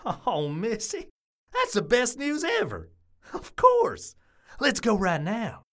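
A man's voice: extremely high pitch, huge pitch swings, slow pace, fairly bright tone, heavily acted. A man playing an earnest, lovestruck and protective suitor in an audio drama. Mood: delighted, eager.

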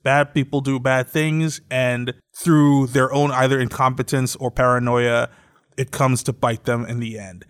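A man's voice: medium pitch, flat delivery, measured pace, smooth. A man co-hosting a podcast in a casual, bantering style, playfully argumentative. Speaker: podcast co-host.

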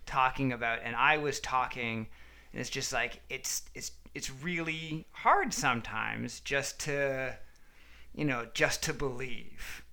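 A man talks casually as a podcast co-host. His voice is bright and clear, with a creaky edge. He rambles and halts, feeling for the right words as he goes. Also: mid-range voice. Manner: rambling, halting, searching for words. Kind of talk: casual chat, storytelling.

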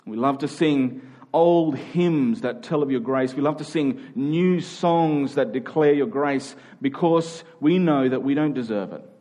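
Man, pastor, medium pitch, sermon delivery, earnest, conversational, informal asides to listeners. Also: unhurried, dark tone. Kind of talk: sermon to a congregation, with prayer.

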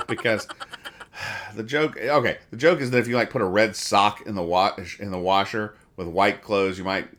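Low-voiced man rambling through comic strips. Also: fairly bright tone, a little hiss or hum. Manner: rambling, halting, mock-explaining jokes. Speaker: podcast host reading newspaper comics. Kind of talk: casual comedic commentary, describing comic strips aloud.

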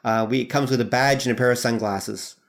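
A man's voice: mid-range voice, fairly even intonation, fairly quick, gravelly, noisy background. A man appearing as a podcast guest, chatting casually in a jokey way with hesitant fillers.

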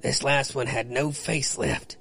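A man voicing a grizzled, grim small-town sheriff with a gravelly, raspy voice, telling a folksy tale in a comic-book radio play. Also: medium pitch, steady tone, a little slow, bright, forceful tone, no echo, full character voice.

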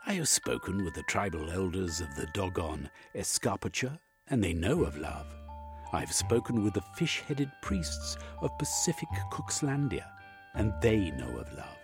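Man acting an anxious, overwrought storybook gentleman, swooping pitch. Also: big pitch swings, moderate pace, music in the background. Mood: troubled, earnest. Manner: dramatic, exclamatory, with trailing 'eh?'. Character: Velvin, anxious, overwrought gentleman confessing his dreams. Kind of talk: audiobook character acting.

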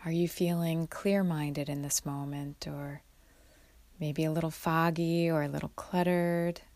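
Casting: female meditation guide, low register, gravelly fry at the edges, gentle unhurried delivery, close dry sound. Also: flat delivery, dry recording. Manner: gentle, unhurried, with long pauses. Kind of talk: guided mindfulness meditation, instructional.

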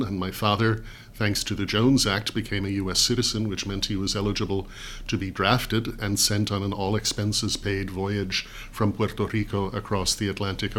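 Low-voiced man with dry humor. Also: fairly bright tone, a little hiss or hum. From New York. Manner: measured, articulate, dry humor. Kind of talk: conversational storytelling.

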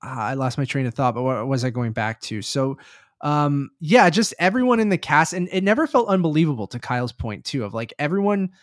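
A man's voice: on the high side, lively intonation, a little fast, no echo, studio quality. A man co-hosting a film review podcast, rambling enthusiastically and swearing freely in casual chat. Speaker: podcast co-host reviewing films.

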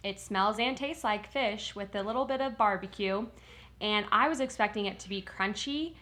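A woman's voice: medium pitch, normal speed, a bit bright. A woman co-hosting a podcast, chatting casually about snacks and reacting warily and dramatically. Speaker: podcast co-host. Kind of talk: casual snack-tasting chat.

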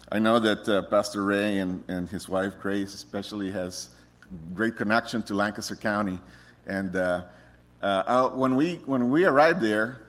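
A middle-aged man from Rica, a missionary who tells his story to a congregation in a relaxed, joking way with many restarts. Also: lowish voice. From Costa Rica. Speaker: missionary speaker. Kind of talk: personal testimony to a congregation.

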